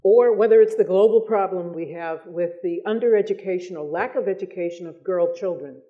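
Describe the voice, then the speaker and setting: An American woman moderating a public discussion, speaking in articulate, deliberate phrases with emphasis on her points. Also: on the low side, mellow.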